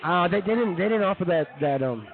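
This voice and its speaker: A man hosting a live comedy show, running through news stories in a casual, halting, chatty way. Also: high voice, animated, narrow, tinny audio, over music. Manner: halting, informal, chatty delivery.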